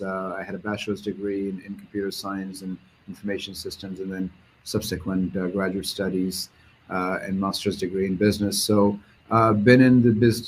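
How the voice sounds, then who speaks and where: A man with a Pakistani accent answering interview questions as a business executive, in a halting way with frequent repeated words. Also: on the low side, slight background noise, rough recording. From Karachi, Pakistan.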